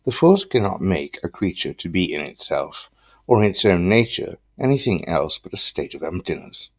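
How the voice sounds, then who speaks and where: A man reciting philosophical quotations from a script in a solemn, deliberate manner. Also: low voice, sing-song, no room sound. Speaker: reader of quotations.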